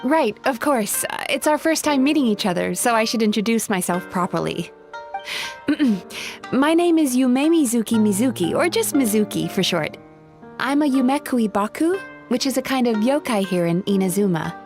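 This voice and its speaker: Kind dream-eating spirit, soft polite voice, slightly high, calm and soothing, game character, faint music behind. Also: female voice, normal speed. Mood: friendly. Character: gentle young female dream-eating spirit, a kind healer. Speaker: dream therapist. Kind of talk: video game voice acting.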